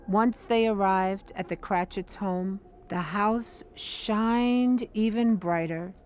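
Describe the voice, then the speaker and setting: A woman narrating an audio drama as a scripted story, in a deliberate, measured manner. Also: mid-range voice, darkish tone, a little hiss or hum.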